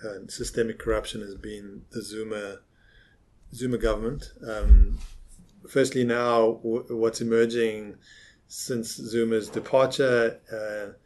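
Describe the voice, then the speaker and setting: Male analyst, fairly low voice, slow pace, halting unscripted explanation, interview answer. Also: level tone, a little mellow.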